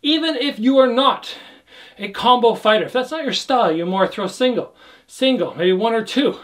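Energetic young man coaching kickboxing. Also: extremely high pitch, normal speed. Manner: calls out combos while demonstrating, energetic. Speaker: kickboxing instructor. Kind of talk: workout instruction. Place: indoor room with trophy shelves.

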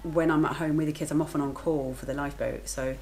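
Woman in her thirties, low voice, chatty, matter-of-fact, home kitchen, faint room hiss. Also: fairly even intonation, fast, darkish tone. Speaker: vlogger, lifeguard and working mother. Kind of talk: casual talk to camera.

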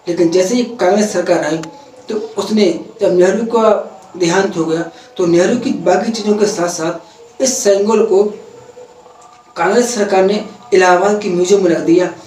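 High-voiced young man explaining news, echoey room. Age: young adult. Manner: earnest, steady explanatory delivery with occasional self-corrections. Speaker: news presenter. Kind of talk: opinionated news explainer to camera. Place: indoor room, parliament backdrop added behind. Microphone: camera a few feet away, no mic in view. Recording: echoey room, voice a bit far from mic, faint noise.

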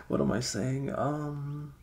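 A man playing a flustered, caring young male best friend with a crush, speaking haltingly in an improvised ASMR roleplay. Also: medium pitch, vocal fry, a little mellow. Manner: halting, bashful, chuckling, casual bro talk.

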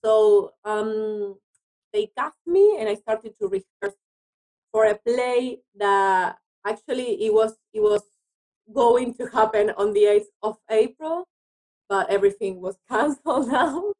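A woman's voice: fairly high pitch, lively intonation, unhurried, darkish tone, poor audio. A woman from Argentina, a native Spanish speaker with an Argentinian accent, talking conversationally as an interview guest about her work as an actress.

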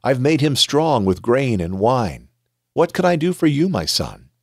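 A man reading aloud the words of a gentle, solemn old blind father in a scripture reading. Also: medium pitch, big pitch swings, measured pace, gravelly, no room sound, clean studio sound, voice pushed up, exaggerated voice.

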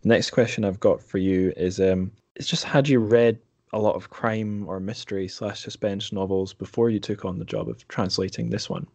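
Deep-voiced man hosting a podcast. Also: fairly even intonation, normal speed, creaky, a little mellow, no echo. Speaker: podcast host, interviewer. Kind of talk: conversational book discussion.

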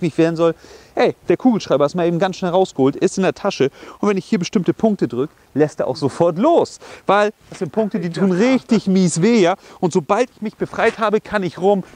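Energetic German instructor with a cold. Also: male voice. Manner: energetic, explains with sound words like boom and bam. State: slightly hoarse from a cold. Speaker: self-defense instructor. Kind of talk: outdoor self-defense demonstration. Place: outdoors on a grassy park slope.

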